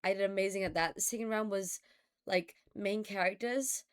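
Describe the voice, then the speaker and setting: A woman streamer with a hoarse, raspy voice, recovering from losing it, chatting casually with her live chat and greeting viewers by name. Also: medium pitch, normal speed. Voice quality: raspy, slightly gravelly.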